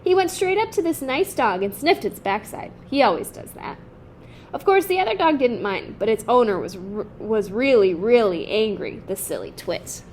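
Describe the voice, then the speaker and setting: Woman putting on a chirpy, high-voiced young girl. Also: normal speed, a little hiss or hum, close to an everyday voice. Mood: cheeky. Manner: chatty, candid, lively. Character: cheerful, frank, friendly young girl. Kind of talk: children's picture book read-aloud.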